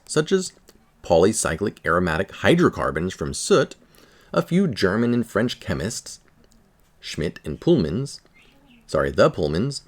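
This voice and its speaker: A man reading a scientific article aloud in a careful, measured manner. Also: lowish voice. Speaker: article reader.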